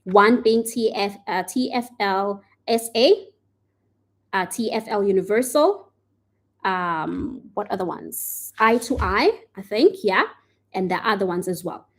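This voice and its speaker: A woman's voice: mid-range voice, animated. A woman hosting a live stream, answering viewer questions in a casual way and often checking in with "all right?" and "okay?". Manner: says she tends to speak too fast.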